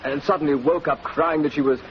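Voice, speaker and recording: An actor playing an anxious, deferential gentleman, speaking hesitantly in a 1940s radio drama. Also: fairly high pitch, fairly even intonation, fairly quick, close to an everyday voice. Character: anxious, polite gentleman, worried son and former war correspondent. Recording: muffled, noisy 1940s radio recording.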